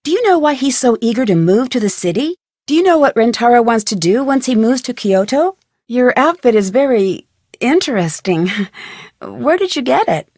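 A curious friendly young girl detective from a video game, upbeat, highish voice, dry studio sound. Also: very animated, moderate pace, darkish tone, dry recording. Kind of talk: scripted video game dialogue and tutorial narration.

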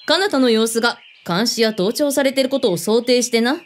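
An actress playing a brusque commanding boss of a covert team in an audio drama, speaking in casual, blunt masculine phrasing. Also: female voice, a little high, moderate pace, close to an everyday voice. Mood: dry, probing.

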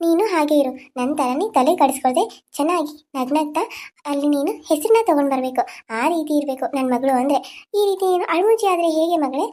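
Woman as a gentle ghost mother. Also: high-pitched, lively intonation, fast talker. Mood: tender coaxing. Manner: soothing, tender, coaxing.

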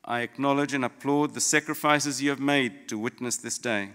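A middle-aged man from Fiji giving a formal, prepared graduation address in measured, deliberate phrases with pauses, at a podium microphone in a large auditorium. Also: mid-range voice, steady tone, pushed and bright. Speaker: graduation guest speaker, lawyer. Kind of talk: prepared formal ceremony address, read from notes. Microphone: gooseneck podium microphone. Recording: long hall reverb.

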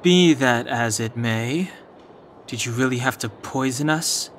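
Male actor as serious young video game companion, medium pitch, reserved, skeptical, measured delivery. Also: slight background noise, lightly acted. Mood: cool suspicion.

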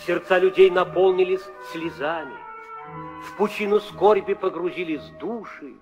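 A man's voice: high-pitched, normal speed, darkish tone, close to an everyday voice. An actor playing a solemn male storyteller, narrating an epic in poetic, dramatic style for an old audio drama. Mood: mournful. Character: solemn epic storyteller lamenting a kingdom's sorrow. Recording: old radio play, flute music behind.

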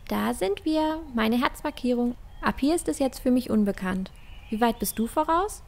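Eine Frau spielt in einem Fantasy-Hörspiel eine mutige, neugierige junge Entdeckerin, eifrig und abenteuerlustig. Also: female voice, on the high side, lively intonation, moderate pace, creaky, darkish tone. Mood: upbeat. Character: bold, curious young girl explorer in a fantasy forest. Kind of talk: fantasy audio drama acting.

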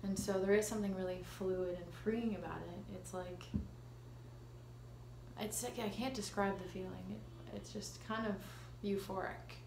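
A Canadian woman talks casually as an interview guest, with a mid-pitched voice. She is self-deprecating and a little halting. The living room is echoey, with faint ambient noise around her. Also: fairly even intonation, gravelly. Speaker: actress being interviewed.

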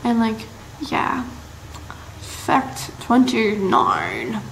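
A teenage girl from Massachusetts chatting to her webcam in a bright room, rambling and bubbly with lots of fillers. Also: on the high side, wildly swooping pitch, very slow, vocal fry. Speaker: vlogger. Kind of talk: casual chat to camera. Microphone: built-in camera mic, close webcam framing. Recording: roomy echo, faint background hiss.

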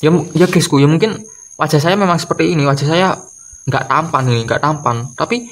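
Exasperated young man, halting. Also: on the high side, fairly bright tone. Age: early 20s. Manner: exasperated, halting, repeats phrases, slips in English swearing. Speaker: video creator. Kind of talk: casual comedic rant to camera. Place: doorway at home by a wooden door. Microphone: camera or phone close in front.

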